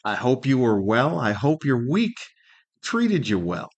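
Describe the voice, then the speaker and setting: A man's voice: medium pitch, big pitch swings, measured pace, muffled recording. A middle-aged or older man hosting a solo podcast, rambling casually with wry humor and plenty of rhetorical questions. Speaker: podcast host.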